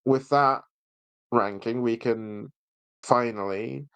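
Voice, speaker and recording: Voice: medium pitch, slow pace, fairly bright tone, clear, resonant tone, no room sound. Jokey podcast host just chatting about reviews, playful, really dry sound. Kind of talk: casual chat review.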